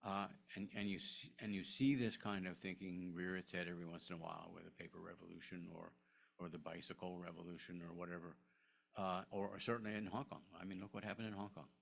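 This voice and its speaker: An older man, a historian, answering audience questions at a lecture, halting with many restarts and fillers. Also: low-pitched, level tone, creaky. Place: lecture room.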